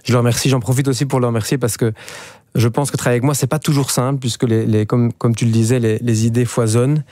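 Mellow-voiced Belgian man, hesitant. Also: mid-range voice, steady tone, fast, no room sound, clean studio sound. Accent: Belgian French. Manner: hesitant, many fillers and restarts. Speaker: musician guest. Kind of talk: radio interview.

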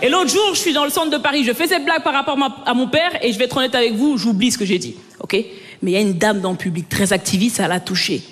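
High-voiced young woman doing stand-up. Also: quick pace. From Belgium. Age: 20s. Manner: lively storytelling, talks to the audience. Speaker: stand-up comedian. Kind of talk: stand-up comedy set. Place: comedy stage before a live audience. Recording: live stage set with long reverb, clear crowd noise.

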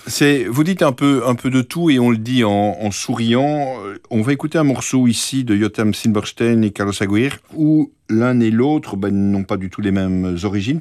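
A man's voice: lowish voice, a little mellow, dry recording. A man hosting a jazz radio interview who asks long questions and often repeats words mid-sentence. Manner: hesitant, repeats words while asking. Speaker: radio host.

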